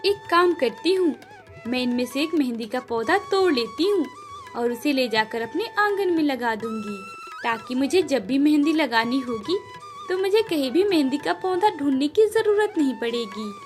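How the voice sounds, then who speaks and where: A woman voicing a gentle, patient young village bride in a cartoon moral story, speaking politely and hopefully. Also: high voice, animated, normal speed, faint music behind, pitched below the performer's own voice. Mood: cheerful, hopeful.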